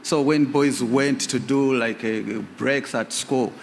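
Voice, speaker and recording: A man's voice: medium pitch, steady tone, takes it slowly, gravelly, slight background noise. A man from Uganda, a guest in a live radio interview, telling his personal story hesitantly, with many fillers. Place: radio theatre stage. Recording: reverberant live theatre.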